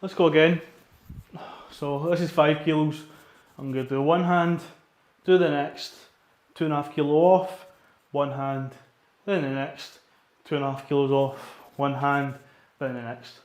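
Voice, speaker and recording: Male Scottish fitness vlogger, slightly high voice, bunged up with a cold, informal and a bit sweary, roomy echoing sound. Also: fairly even intonation, unhurried. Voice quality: congested, sniffly. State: getting over a cold. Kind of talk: casual workout vlog chat and rep counting.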